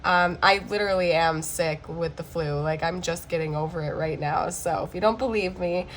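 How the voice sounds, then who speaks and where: A woman recovering from the flu, with a hoarse, cracking voice, giving a chatty tarot card reading to camera and checking in often with "okay?". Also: fairly low pitch, normal speed, a little hiss or hum.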